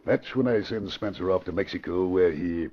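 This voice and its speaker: An American man playing a scheming middle-aged investment crook in old radio crime drama style, commanding, smooth-mannered and emphatic. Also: sits low, moderate pace, slight background noise, natural voice. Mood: gloating. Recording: muffled old radio recording.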